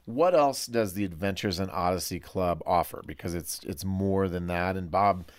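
Low-voiced man hosting an interview. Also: moderate pace, clean tone, dry recording. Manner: inquisitive, informal. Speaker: podcast host, interviewer. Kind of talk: casual interview questioning.

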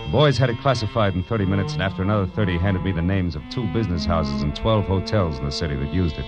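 An actor playing a wry, world-weary American private detective in a terse, sardonic 1940s radio noir style. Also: male voice, sits low. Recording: muffled old radio recording, music behind.

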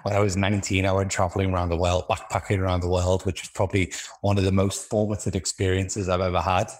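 A man's voice: low-pitched, flat delivery, fast talker. A man with a Liverpool accent talking casually as an interview guest, feeling his way through his thoughts in a hesitant manner. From Liverpool, England.

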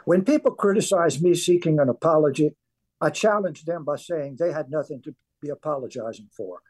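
Earnest older Cajun man, husky. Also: on the high side, mellow, poor audio. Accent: Cajun Louisiana. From South Louisiana. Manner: earnest, emphatic storytelling. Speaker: author and former weightlifter, guest. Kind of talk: radio interview.

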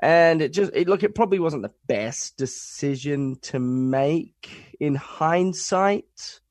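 A young man co-hosting a podcast from home, stuffy and nasal from a cold, talking in halting starts with many fillers. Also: a little high, expressive, darkish tone, no room sound, studio quality. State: congested, nasal. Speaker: podcast co-host. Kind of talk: casual chat.

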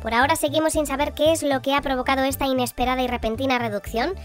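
A woman playing a composed, matter-of-fact radio host shrunk to half size, in a scripted radio drama, with a pitched-up voice. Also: steady tone, quick pace, soft background music. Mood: composed, announcing. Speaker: radio presenter. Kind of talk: scripted fictional radio bulletin and interview.

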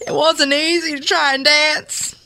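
A woman's voice: high-pitched, little pitch movement, a little slow, bright, forceful tone, voice pushed up, heavily acted. A woman playing a sad, anxious, pleading Southern mother in a melodramatic comedy scene, tearful and cloying. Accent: American South.

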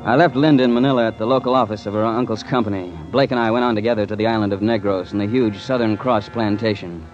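A man plays a hard-boiled, principled American investigator in an old radio drama. His voice is on the low side, terse and measured. The recording is dull, with music clearly behind it. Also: fairly even intonation, close to an everyday voice. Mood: brooding narration. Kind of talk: 1940s-50s radio drama acting with first-person narration. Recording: muffled vintage radio with music.

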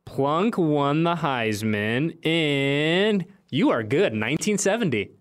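A man's voice: a little high, animated, slow pace. A young American man hosting a sports podcast, chatting casually in informal, slangy language. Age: late twenties to thirties. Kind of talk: casual interview chat.

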